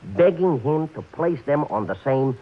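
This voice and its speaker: An actor playing a small-time racetrack bookie who talks in florid, overly formal phrases mixed with track slang, in a 1940s radio play. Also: male voice, on the high side, animated, measured pace. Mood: pompous. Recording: muffled vintage radio broadcast.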